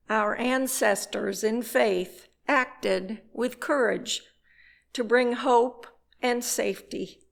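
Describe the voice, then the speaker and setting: A woman's voice: a little high, creaky. A woman giving a scripted ceremonial reading at a church service. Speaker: chalice lighter.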